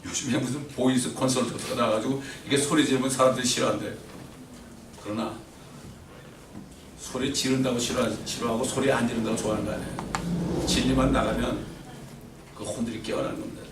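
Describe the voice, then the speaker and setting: A middle-aged man preaching a sermon, swinging between loud emphatic peaks and quiet asides and asking the congregation questions. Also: medium pitch, steady tone, a little fast, long pauses, a little hiss or hum. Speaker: pastor. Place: church sanctuary. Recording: roomy church echo from far off.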